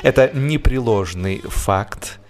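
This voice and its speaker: A man reads a humorous literary narration with expressive, wryly ironic storytelling, as in an audiobook. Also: mid-range voice, slow pace. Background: music.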